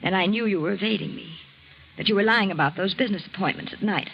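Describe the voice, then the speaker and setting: An actress playing an anguished, accusing wife in a dramatic, imploring 1940s radio drama style. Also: female voice, medium pitch, fast, gravelly, lo-fi sound, close to an everyday voice. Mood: hurt, accusing. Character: anguished, accusing wife clinging to a failing marriage. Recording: noisy vintage radio drama recording.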